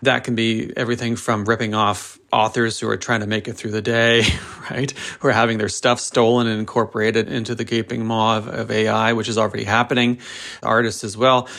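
Low-voiced man, wry and emphatic. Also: flat delivery, a little fast, creaky, fairly bright tone. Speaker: panel guest. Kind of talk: panel discussion.

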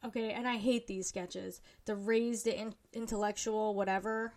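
A woman's voice: medium pitch, steady tone, normal speed, a little mellow. A woman in her thirties chatting casually and bluntly as a podcast co-host. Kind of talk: casual chat reviewing a TV show.

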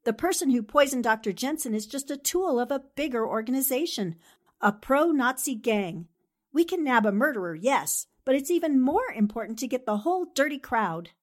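A woman playing a brisk, determined newspaperwoman sleuth in a 1940s-style radio drama, with a commanding manner. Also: high-pitched, lively intonation. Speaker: actor in an amateur radio drama re-creation.

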